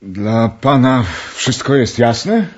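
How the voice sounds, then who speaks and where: A man's voice: medium pitch, lively intonation, slow, lo-fi sound. A man acting a flustered, deferential valet in a radio play, polite, hesitant and stammering. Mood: nervous, deferential.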